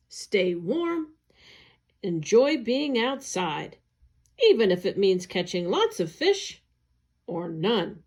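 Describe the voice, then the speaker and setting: A woman reading an educational newsletter aloud in careful, clearly separated phrases. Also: fairly high pitch, darkish tone. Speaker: agency staff reader.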